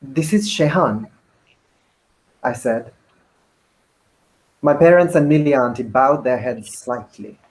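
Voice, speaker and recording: A man, an actor, narrating a first-person novel in a measured, deliberate dramatic reading. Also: mid-range voice, poor audio.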